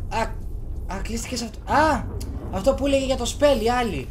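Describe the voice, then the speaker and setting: A man's voice: very high-pitched, a little hiss or hum. A young adult man giving casual let's play commentary as host of a gaming video. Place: small bedroom. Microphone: desk USB microphone, headset worn. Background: game music.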